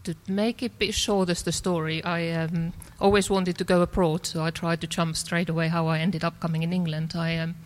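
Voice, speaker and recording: A woman with a Finnish accent and a somewhat low voice tells her personal story. She speaks hesitantly, pausing with many fillers and restarts as she goes. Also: level tone. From Finland. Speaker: church member sharing her story. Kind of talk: personal testimony.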